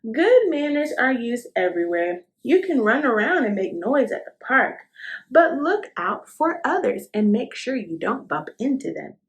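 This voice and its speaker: Children's read-aloud host, woman, slightly high voice with very lively intonation, encouraging teacherly delivery straight to the young listener. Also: measured pace. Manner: encouraging, instructive, asks the listener questions and affirms.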